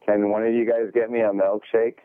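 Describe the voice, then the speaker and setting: A man's voice: lowish voice, level tone, brisk, clear, resonant tone, no room sound. A man in his forties, calling in to the show and trading profane, joking banter. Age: 40s. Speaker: regular co-host on a call-in. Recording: tinny phone line.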